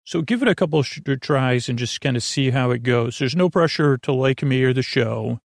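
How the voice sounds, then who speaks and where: A man hosting a sleep podcast in a creaky voice, with a meandering, halting monologue full of repeats and tangents. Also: mid-range voice, no echo, clean studio sound. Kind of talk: rambling bedtime monologue.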